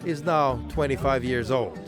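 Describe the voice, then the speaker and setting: A man reporting, narrating a news feature in a measured, scripted voice-over. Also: mid-range voice. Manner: measured, even delivery. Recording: voice-over with music bed. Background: music.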